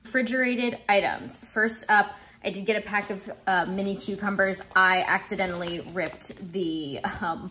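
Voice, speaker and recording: A woman in her twenties chatting casually in her home kitchen, relaxed and full of ums and likes, filmed by a camera in front of her. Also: on the low side, slight background noise. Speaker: vlogger. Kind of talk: casual grocery haul chat. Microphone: camera in front, close framing.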